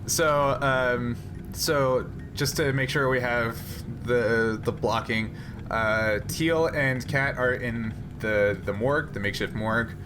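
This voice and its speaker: A man running a tabletop role-playing game as game master, narrating casually in a halting, piecemeal way. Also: mid-range voice, music in the background. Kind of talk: tabletop actual play narration and casual chat.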